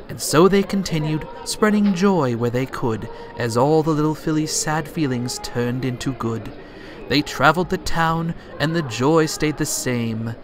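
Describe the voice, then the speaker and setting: A man narrating a rhyming story in a dramatic, theatrical storybook style. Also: medium pitch.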